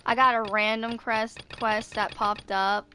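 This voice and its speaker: A woman's voice: a little high, level tone, unhurried, noisy background. A woman in her twenties casually commenting on her game and reading quest text aloud. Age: 20s. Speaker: gamer commenting on her play.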